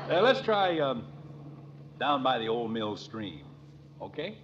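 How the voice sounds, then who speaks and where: A folksy, cheerful American suburban dad in a vintage radio comedy. His talk is unhurried and genial, swinging freely up and down, on a muffled, noisy old broadcast recording. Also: mid-range voice, big pitch swings, a bit bright, a faint crowd. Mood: eager, coaxing. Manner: hesitant, chatty, genial. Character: cheerful, folksy middle-aged suburban father. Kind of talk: 1940s-50s radio sitcom acting.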